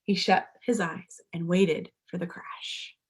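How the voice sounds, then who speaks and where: A woman's voice: lowish voice, steady tone, slow pace. A woman reading a children's storybook aloud like a pastor at story time, dramatic and speaking directly to young listeners. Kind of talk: expressive children's storybook narration.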